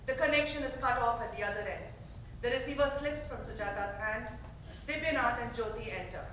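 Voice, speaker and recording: A woman's voice: on the high side, fairly quick, a bit bright, a little hiss or hum. A woman reading scripted stage directions as narrator at a staged reading. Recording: echoey theater, distant mic.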